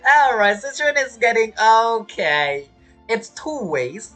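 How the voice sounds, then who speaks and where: A woman's voice: on the high side, sing-song, takes it slowly, fairly bright tone, faint music behind. A woman giving casual, amused and teasing commentary while playing a video game, often repeating words hesitantly. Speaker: gamer streamer.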